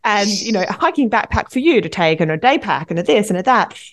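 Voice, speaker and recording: A woman's voice: medium pitch, big pitch swings, fast. A woman with an Australian accent co-hosting a podcast, asking long chatty questions. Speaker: podcast co-host. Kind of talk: casual interview chat.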